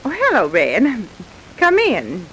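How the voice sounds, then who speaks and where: An actress playing a kindly, chatty American housewife in an old-time radio drama. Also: female voice, on the high side, wildly swooping pitch, slow, a bit bright, full character voice, low-quality recording. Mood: friendly, hospitable. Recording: hissy vintage radio recording.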